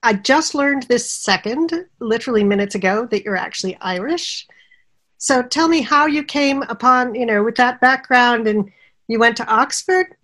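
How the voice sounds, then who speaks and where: A woman's voice: fairly high pitch, normal speed. Enthusiastic woman podcast host. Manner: enthusiastic, warm welcoming host. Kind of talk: podcast interview.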